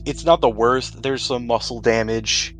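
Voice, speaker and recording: A man's voice: medium pitch, a little slow, a bit bright, faint music behind. A man speaking as game master, narrating a tabletop roleplaying game in a conversational way.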